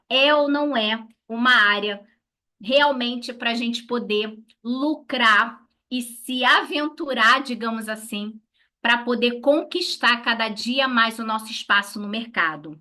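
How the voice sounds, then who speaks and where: A woman's voice: fairly high pitch, slow pace, pushed and bright. A Brazilian woman giving an instructional talk as a lawyer to colleagues, didactic, posing questions and answering them herself. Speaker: lawyer teaching a class.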